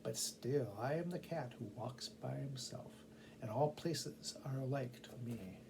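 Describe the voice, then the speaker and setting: A man voicing a sly, self-possessed cat in a storybook, speaking smoothly and deliberately. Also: medium pitch, background noise. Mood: smug.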